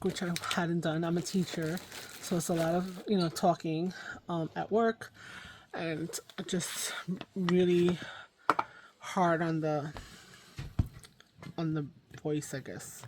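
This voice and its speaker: Middle-aged lady vlogging her crafts, kinda hoarse, lower voice, lots of ums. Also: background noise. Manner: rambling, self-correcting, lots of ums. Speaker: craft channel host. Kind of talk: casual crafting vlog chat.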